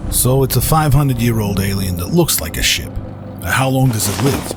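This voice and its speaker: A man's voice: medium pitch, lively intonation, moderate pace, music in the background, lightly acted. A man playing a blunt, incredulous spacer in an audio drama, challenging what he is told. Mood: blunt questioning.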